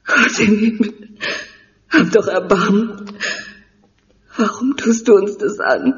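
A woman's voice: medium pitch, takes it slowly, a little hiss or hum, close to an everyday voice. A woman playing an anguished, crying mother mourning her daughter in a German audio drama. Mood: anguished, pleading. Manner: anguished, pleading, dramatic delivery. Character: grieving mother identifying her murdered teenage daughter. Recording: dull sound with long reverb.

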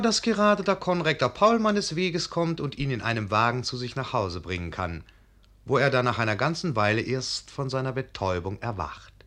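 A man's voice: medium pitch, fairly bright tone. A man playing a wry, genial fairy-tale narrator in a radio production, telling the story with knowing irony. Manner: ironic, knowing. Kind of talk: theatrical scripted narration.

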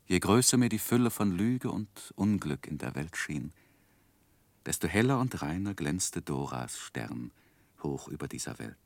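An actor playing a gentleman who tenderly recalls his infatuated youth, narrating a 1960s German radio drama in a wistful, literary manner. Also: low-pitched, big pitch swings, measured pace, vocal fry, dry recording, exaggerated voice. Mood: yearning, wistful. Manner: wistful, ornate literary phrasing.

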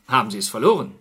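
Casting: polite, shrewd detective for crime radio play. Bright, animated voice, wry, sceptical questioning. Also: male voice, mid-range voice, breathy edge, heavily acted. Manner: polite, probing, wryly sceptical. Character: shrewd, courteous gentleman detective questioning suspects. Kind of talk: radio crime drama acting.

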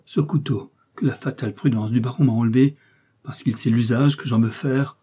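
A man's voice: mid-range voice, fairly even intonation, moderate pace, warm tone, slightly rough, natural voice. A man voicing a young, scheming woman prisoner who feigns pious, desperate anguish in a theatrical, pleading manner for a storybook.

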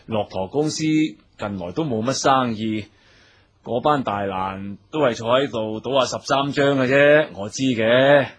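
A Cantonese gang boss in a radio drama. His mid-pitched voice has a rough husk to it. He instructs his men deliberately, with measured authority and a lecturing streak. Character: authoritative male gang boss and club owner, reforming, measured.

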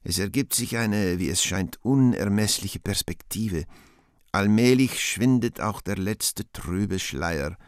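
A man reading stage directions as narrator of a radio play, in a measured, solemn way. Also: lowish voice, no echo, clean studio sound.

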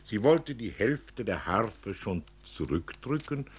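A man with a low, husky voice reads literary prose aloud as its author. His delivery is highly expressive, rising and falling widely across each phrase. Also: slow. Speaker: author reading from his own novel.

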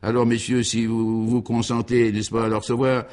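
A man's voice: medium pitch, level tone, moderate pace, a little mellow, natural voice. Man playing an obsequious, long-winded valet. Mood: pleading, servile. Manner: deferential, long-winded, circling phrases. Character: obsequious, wordy hotel valet, a male servant. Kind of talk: comic radio serial.

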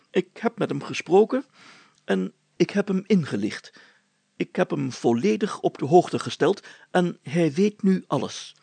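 A man's voice: fairly high pitch, gravelly, darkish tone, slightly rough, dry recording, voice pushed up, heavily acted. A man voicing a jovial, self-assured village mayor in a storybook, with emphatic, confiding talk.

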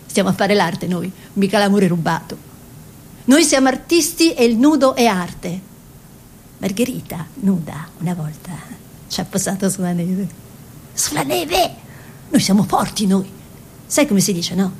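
A woman playing a dreamy, proud young peasant girl in a theatrical radio monologue, speaking in short incantatory phrases with hushed repetitions. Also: lively intonation, a little slow. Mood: playful, proud. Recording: noisy recording with low rumble.

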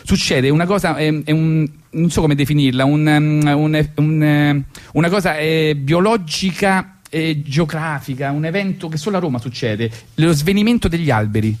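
A man's voice: a little high, normal speed. A man with a Roman accent, a radio host and comedian telling a rambling story with false starts and asides. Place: radio studio.

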